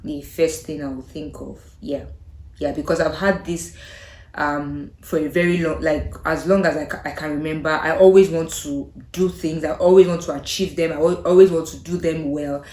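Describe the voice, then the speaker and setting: Young nigerian woman vlogging, kinda low voice, hesitant and trailing off, echoey room. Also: fairly even intonation, moderate pace. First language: Yoruba. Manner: thoughtful, hesitant, trailing off softly with frequent laughs. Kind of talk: casual chat to camera.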